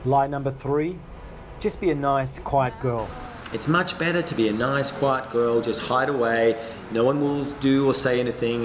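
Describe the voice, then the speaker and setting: A man's voice: mid-range voice, background noise. A middle-aged man, a self-defense coach, giving an earnest, emphatic scripted narration. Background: background music.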